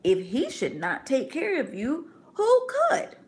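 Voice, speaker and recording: A woman reading aloud and voicing a devout mother who speaks softly and earnestly to her little son. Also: sits high, huge pitch swings, takes it slowly, voice pushed up, full character voice. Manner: soft, earnest, coaxing.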